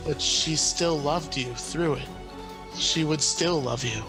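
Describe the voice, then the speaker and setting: An earnest young arcanist, played by a man with a slightly high voice, pleads with compassion in a tabletop fantasy game. The words come measured and gentle over clear music. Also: poor audio. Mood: tender.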